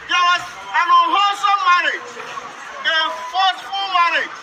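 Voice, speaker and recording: A man's voice: extremely high pitch, fairly even intonation, moderate pace. A man from southeastern Nigeria, giving an impassioned protest speech at an outdoor street rally. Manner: impassioned, shouting slogans. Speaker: rally speaker. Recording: loud crowd noise outdoors. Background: loud crowd and street noise.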